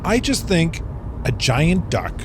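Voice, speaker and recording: Male actor as whimsical, teasing travel buddy, unhurried but very animated, comedy radio play, car noise underneath. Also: fairly high pitch, exaggerated voice. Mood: pleading, teasing. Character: playful, eager, childlike goofball on a road trip. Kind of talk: comedic audio drama acting. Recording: car and road noise behind.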